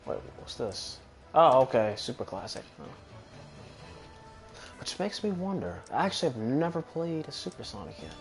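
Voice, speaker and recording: A man's voice: mid-range voice, very animated, normal speed, long pauses. Male gamer, mid-range voice, loose sweary play-by-play, game music behind. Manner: rambling, casual, swears freely. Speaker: gamer commentating on own play. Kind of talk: casual gameplay commentary. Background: video game music.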